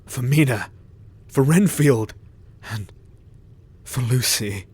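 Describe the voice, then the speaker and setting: Man voicing vengeful hunter, husky voice, solemn. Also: mid-range voice, slow pace, darkish tone. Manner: solemn and oath-swearing. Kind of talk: audio drama acting.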